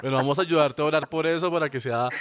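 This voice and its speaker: A man's voice: fairly high pitch, steady tone, quick pace, over a noisy crowd. A man hosting an interview, asking questions and responding with friendly affirmations. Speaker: radio show host.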